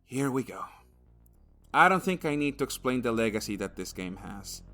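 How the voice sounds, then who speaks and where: A man's voice: medium pitch, lively intonation, normal speed, sharp tone, soft background music. A man narrating an opinionated video game review in a crude, sardonic way, swearing freely.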